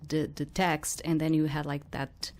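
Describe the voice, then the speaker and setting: A woman giving casual game commentary and reading aloud, thinking out loud in a hesitant, rambling way. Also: low-pitched, level tone, unhurried. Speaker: host of a game playthrough.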